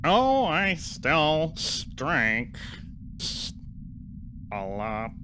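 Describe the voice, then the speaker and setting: An actor voices a sullen alien desk clerk in a comic audio drama. The delivery is extremely slow and deadpan, haughty and grudging, with a sharp, bright edge over faint background noise. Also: mid-range voice, exaggerated voice. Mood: disdainful.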